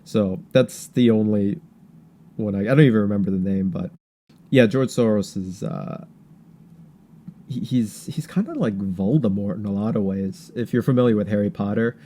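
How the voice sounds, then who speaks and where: A man hosting a podcast with casual political commentary, talking in a halting, rambling way with stammered repeats. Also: fairly low pitch, mellow, a little hiss or hum. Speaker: podcast host.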